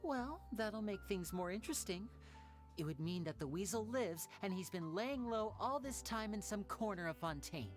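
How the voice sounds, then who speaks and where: Charming game magician, theatrical and teasing, swings up and down. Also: male voice, high voice, expressive, normal speed, faint music behind. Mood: suave. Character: charming young male stage magician, playful. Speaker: stage magician. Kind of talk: game cutscene voice acting.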